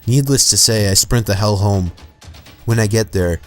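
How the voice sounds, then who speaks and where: A man with a fairly low voice reads creepy true stories in a calm, steady, scripted way. Soft music runs quietly beneath his narration. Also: normal speed. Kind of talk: scripted horror story narration.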